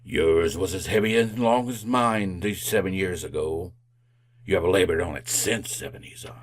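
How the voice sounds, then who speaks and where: A man's voice: deep voice, normal speed. An actor playing the mournful ghost of a dead businessman in an old-time radio drama, solemn, flowery and declamatory. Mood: mournful, remorseful.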